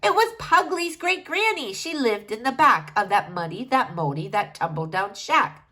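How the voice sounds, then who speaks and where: A woman reading a rhyming children's story aloud in an expressive narrating style. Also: a little high, normal speed. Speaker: storybook reader.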